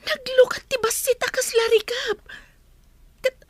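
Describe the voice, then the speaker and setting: A woman's voice: very high voice, fairly even intonation, unhurried. An actress with an Ilocano accent playing an earnest, cautious young woman in a radio drama, respectful in manner. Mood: secretive, wondering.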